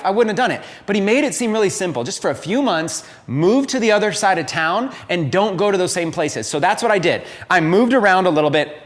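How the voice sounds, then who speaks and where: A man in his late thirties giving a candid, unscripted motivational talk, openly confessing his past to viewers. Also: sits high. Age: 30s. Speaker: entrepreneur and coach.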